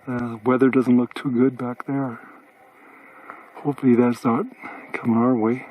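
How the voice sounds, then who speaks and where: A man in his sixties chatting casually while hiking a mountain trail and filming, relaxed and given to chuckling and repeating himself. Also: mid-range voice, normal speed, dull audio, a little hiss or hum. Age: 60s. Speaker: hiker filming a vlog. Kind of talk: casual outdoor chat. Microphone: handheld camera.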